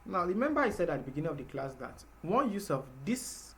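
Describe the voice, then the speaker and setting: A man's voice: a little high, very animated, brisk, slight background noise. A man teaching a live programming class, explaining patiently step by step with fillers. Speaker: programming instructor.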